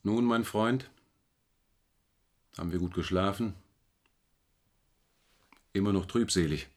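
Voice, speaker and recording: An actor playing a jovial, patronising middle-aged asylum doctor in a radio drama, with a hearty manner. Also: male voice, deep voice. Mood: hearty, reassuring.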